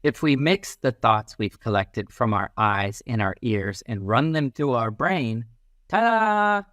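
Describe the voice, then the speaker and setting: A man reading a children's picture book aloud as a teacher, in an expressive, instructive manner. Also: mid-range voice, unhurried, dry recording, studio quality. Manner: animated, instructive, acts out little sounds and quotes.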